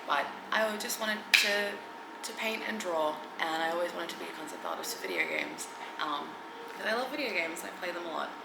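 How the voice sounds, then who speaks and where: An Australian woman in her thirties chatting casually to camera in a get-to-know-me vlog, hesitant with many ums and soft giggles, sometimes dropping near a whisper. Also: medium pitch, gravelly, roomy sound, background noise. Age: 30s. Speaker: content creator. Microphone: camera mic.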